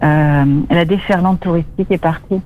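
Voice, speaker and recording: A woman's voice: lowish voice, fairly even intonation, moderate pace, a little hiss or hum. A woman from the Dordogne speaking as a guest author in a phone interview, hesitant with many fillers and restarts. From Dordogne, France. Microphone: telephone. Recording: muffled phone line.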